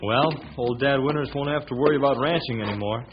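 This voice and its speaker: An American actor playing a loyal, plain-talking young ranger sidekick in old-time radio drama style, folksy, chatty and good-natured. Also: male voice, medium pitch, quick pace, natural voice. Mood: chatty, opinionated. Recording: muffled, noisy old radio recording.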